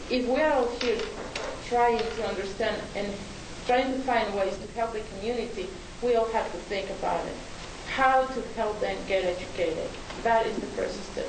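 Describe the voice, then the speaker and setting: A woman from Mexico with a Mexican Spanish accent gives an earnest, hesitant forum presentation with many restarts. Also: medium pitch. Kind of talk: public talk at a forum. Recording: echoey hall, distant mic, hiss.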